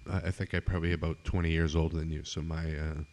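A middle-aged man hosting a podcast, chatting casually with many fillers and trailing off quietly. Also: a bass voice, little pitch movement, fast talker. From eastern United States. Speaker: podcast host. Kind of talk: casual interview chat.

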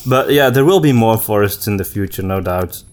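Low-voiced man chatting on a podcast. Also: lively intonation, brisk. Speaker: podcast host. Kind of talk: casual conversational podcast chat.

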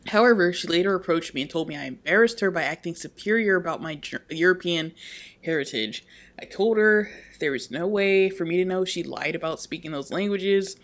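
A woman co-hosting a podcast, reading a post aloud in an animated way and laughing at herself. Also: fairly low pitch. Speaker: podcast co-host.

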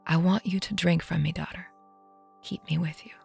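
A wise old fanged aunt, calm and tender, murmuring in a low, gravelly hush, as a woman puts her on. Her soft, steady words come out half whispered. Faint music sits behind. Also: moderate pace, heavily acted. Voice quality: hushed and breathy.

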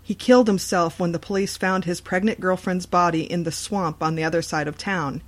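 A woman's voice: on the low side, steady tone, a little fast, close to an everyday voice. A woman voicing a frightened, reluctant landlady breaking grim news in an audio horror story. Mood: grave, reluctant.

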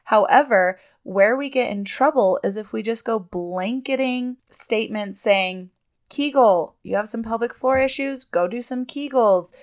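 A woman's voice: a little high, measured pace. Woman in her 30s, podcast host, chatty and emphatic, slightly congested. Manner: chatty, emphatic, laughs often. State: sniffly and congested. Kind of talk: casual podcast talk.

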